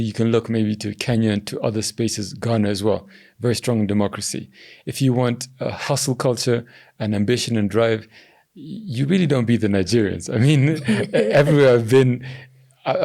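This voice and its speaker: A young man from Zambia, a governance strategist giving measured interview answers that he often hedges with "I think.". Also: mid-range voice, creaky, a little mellow. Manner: measured, hedging with "I think" and "you know". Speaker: podcast guest, governance strategist.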